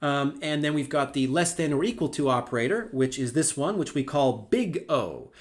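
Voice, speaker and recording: A man's voice: on the high side, lively intonation, normal speed. A middle-aged male university lecturer giving a recorded lecture, talking in a conversational way with wry asides and plenty of ums and restarts.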